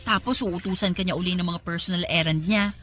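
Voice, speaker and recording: A woman's voice: lowish voice, steady tone, brisk, fairly bright tone, close to an everyday voice. A woman playing a frank young office co-worker in a radio drama, with a Filipino accent and a casual, needling manner. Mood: frank, teasing.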